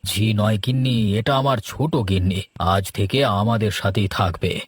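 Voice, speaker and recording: A man's voice: fairly low pitch, fairly even intonation, measured pace, slightly rough, no room sound, clean studio sound. A man voicing a sheepish, much-married husband in a cartoon folk tale, cajoling and placating.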